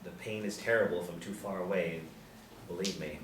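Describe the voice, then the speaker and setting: A man's voice: low-pitched, steady tone, a little fast, natural voice. A man, apparently middle-aged, playing an awkward, apologetic nerdy man at a script reading, hesitant and stammering. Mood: earnest, confused. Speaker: actor at a screenplay table reading. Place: indoor room, seated. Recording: echoey room, distant mic, faint noise.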